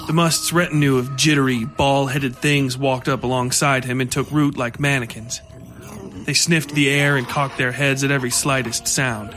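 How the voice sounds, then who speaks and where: A man's voice: mid-range voice, steady tone, normal speed, a bit bright. A man reading scripted horror fiction as narrator, with music playing under his voice.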